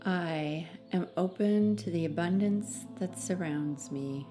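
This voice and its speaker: A woman narrating a guided meditation in a soothing, measured manner with long pauses between phrases. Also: fairly low pitch, warm tone, faint music behind.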